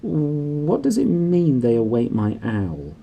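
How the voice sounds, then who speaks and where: A man's voice: a little high, huge pitch swings, slow, creaky, dark tone, a little hiss or hum, voice pushed up, full character voice. A man voicing a bewildered, earnest young boy in a storybook, curious and hesitant. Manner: hesitant, stammering.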